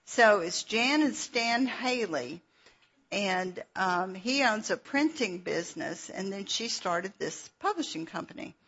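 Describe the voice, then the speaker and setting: A middle-aged woman with a Georgia drawl teaches in an easy, anecdotal way, laughing at herself as she goes. Her voice is slightly breathy and her delivery is slow. The recording sounds dull and lo-fi. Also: mid-range voice, lively intonation, fairly bright tone. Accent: Southern US (Georgia). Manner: folksy, chatty storyteller who laughs at herself. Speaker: Bible teacher and author. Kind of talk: conference teaching session.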